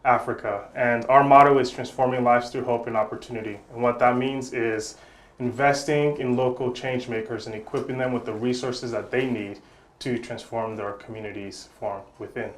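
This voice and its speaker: A man's voice: medium pitch, steady tone, moderate pace. Earnest man from Congo, reflective. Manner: earnest, reflective, repeats words while searching. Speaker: guest, nonprofit founder. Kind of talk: interview answers.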